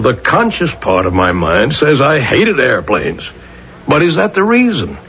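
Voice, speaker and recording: An actor playing a worn-down, self-doubting middle-class murder suspect in a 1970s radio drama, agitated, halting and defensive. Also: male voice, fairly high pitch. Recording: noisy, muffled old radio recording.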